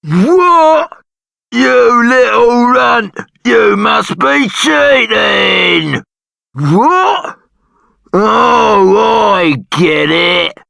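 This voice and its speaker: A belligerent tavern ruffian for a video game. The voice is heavily gravelled and sharp, with slow, aggressive, shouted delivery in a dry recording. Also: male voice, high voice, big pitch swings, no echo, exaggerated voice. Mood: angry, accusing. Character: belligerent pub ruffian spoiling for a brawl. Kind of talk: video game character acting.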